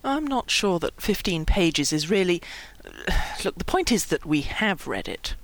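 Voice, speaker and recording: A woman voicing a dignified, exasperated young man in a story, quiet, measured and pompous. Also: on the low side, big pitch swings, exaggerated voice.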